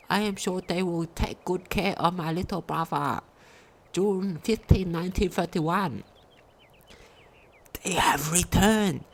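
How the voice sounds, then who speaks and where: A woman reading a game diary aloud as a video commentator, sometimes stumbling over her words. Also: on the low side.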